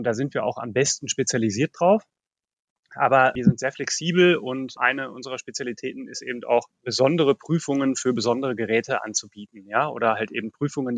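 A man from the Ruhr area, an engineer answering interview questions in a relaxed way with lots of fillers. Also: medium pitch, no room sound. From Ruhr area, Germany. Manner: relaxed, many filler phrases. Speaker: test lab engineer, guest.

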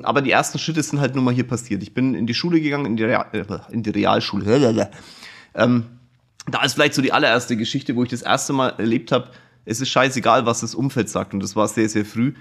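A man's voice: mid-range voice, fairly quick. Chatty man in his forties. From Kulmbach, Upper Franconia, Germany. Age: 40s. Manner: chatty, frank, lively and self-deprecating. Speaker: podcast host. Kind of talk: casual personal storytelling monologue. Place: office.

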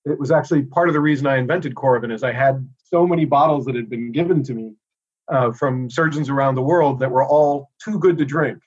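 A middle-aged American man speaking as a guest in a casual interview, relaxed and thoughtful with frequent pauses. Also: medium pitch, breathy edge, dull audio. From United States. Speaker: inventor and guest.

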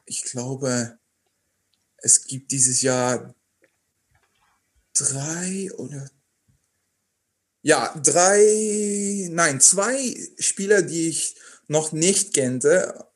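A man's voice: on the high side, extremely slow, long pauses. A young man, a sportsman guest in a podcast interview, speaking hesitantly and searching for words. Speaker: athlete guest.

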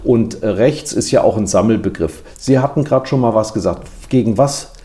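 A man's voice: on the low side, normal speed, warm tone. An older man hosting an interview, asking questions in a jovial way with frequent quips. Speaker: interviewer, host.

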